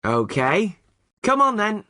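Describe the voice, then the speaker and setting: A woman's voice: medium pitch, very animated, takes it slowly, pushed and bright, no room sound, clean studio sound. A woman presenting a scripted podcast for learners as its host.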